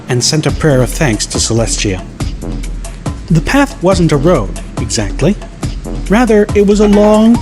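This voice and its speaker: Guy narrating an audiobook story in first person, voice a bit high, music clearly playing under it.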